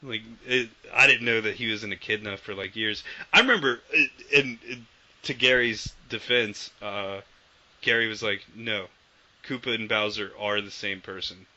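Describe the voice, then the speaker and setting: A man co-hosting a casual video game podcast, animated and swearing freely as he acts out old quotes. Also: lowish voice, moderate pace. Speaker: podcast co-host. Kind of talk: casual chat about video games. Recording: dull lo-fi podcast audio.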